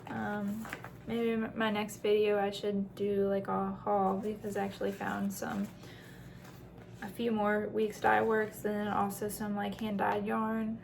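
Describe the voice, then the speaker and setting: A woman's voice: mid-range voice, completely flat delivery, measured pace, vocal fry, darkish tone, slight background noise. A woman chatting casually through a craft show-and-tell as host, in a rambling way with self-deprecating asides.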